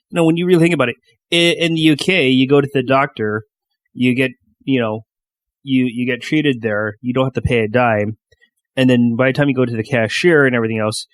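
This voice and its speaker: A man co-hosting a podcast in casual chat, a little sniffly, speaking haltingly with many "you know"s. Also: mid-range voice, dry recording. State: slightly sniffly. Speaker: podcast co-host.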